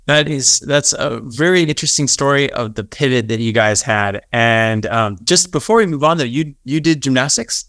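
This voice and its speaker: A man's voice: mid-range voice, normal speed, hardly any pauses, a bit bright, no room sound, studio quality. A man hosting a podcast interview in an easygoing, conversational manner. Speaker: podcast host. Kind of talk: casual interview.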